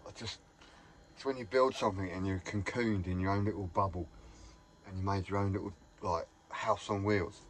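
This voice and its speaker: A man's voice: sits low, fairly even intonation, moderate pace, a little hiss or hum. Chatty man in a camper van. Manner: rambling, chatty, enthusiastic. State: slightly hoarse. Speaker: vlogger. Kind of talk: casual vlog chat.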